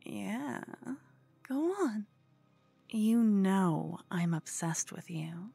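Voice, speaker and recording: A woman's voice: medium pitch, very slow, creaky, warm tone, slightly rough, soft background music, full character voice. A woman playing a cute, obsessed, scheming yandere con woman in an ASMR roleplay, coy and cooing, often slipping into whispers. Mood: eager, teasing.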